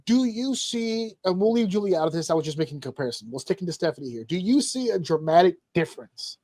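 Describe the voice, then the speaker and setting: A man's voice: high voice, expressive, fast, darkish tone, dry recording. A man hosting a casual wrestling panel chat, joking crudely with his guests. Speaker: podcast host.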